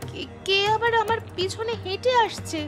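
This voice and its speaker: A woman's voice: extremely high pitch, steady tone, normal speed. A woman playing a spiteful, brazen village chicken thief in a cartoon, with exaggerated delivery. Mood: defiant. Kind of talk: cartoon story acting. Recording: music under the voice.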